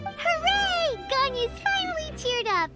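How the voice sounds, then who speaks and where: Squeaky, excitable little fairy. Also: female voice, measured pace, full character voice. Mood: excited. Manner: squeaky, exclaiming. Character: tiny childlike floating fairy companion, excitable, always hungry. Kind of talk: video game character acting. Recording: loud music behind the voice.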